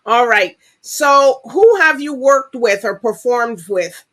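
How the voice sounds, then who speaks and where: Talk show host, chatty, rambling, casual, middle pitch. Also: lively intonation, slow pace, a bit bright. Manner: chatty, rambling, laughs often.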